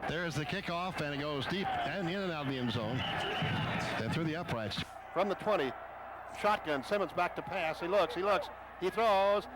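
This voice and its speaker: A man calling a football game play by play for radio, rising in pitch and volume on big plays. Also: moderate pace. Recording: noisy stadium broadcast.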